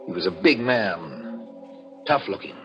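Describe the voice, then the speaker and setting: A man's voice: sits low, sing-song, unhurried, muffled recording. An actor playing a guilt-ridden murderer narrating his own story in 1940s radio thriller style, brooding and melodramatic with desperate outcries. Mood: grim narration. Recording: old radio drama with music.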